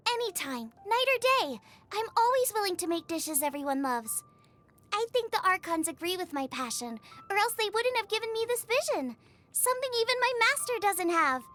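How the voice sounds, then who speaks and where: A woman voicing a bubbly, eager, food-obsessed young woman chef in an expressive video game style, chatty and full of exclamations. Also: very high-pitched, heavily acted. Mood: proud, enthusiastic. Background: music.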